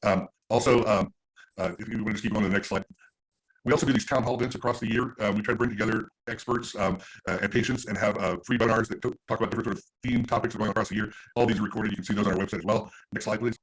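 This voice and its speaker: A man giving an informal slide presentation as an advocacy speaker, often halting and rephrasing as he goes. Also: fairly low pitch, fairly even intonation, low-quality recording. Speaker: patient advocacy organization speaker.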